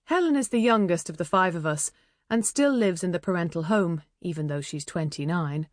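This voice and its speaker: Irish lady narrating an audiobook, lowish voice, wry and chatty, really dry close sound. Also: normal speed, dry recording. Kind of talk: scripted first-person novel narration.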